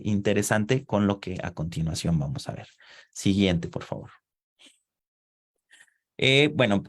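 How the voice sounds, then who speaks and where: A man with a notably low, deep voice presents his research at an online seminar. He speaks haltingly, often pausing on filler sounds and restarting his phrases. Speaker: conference presenter.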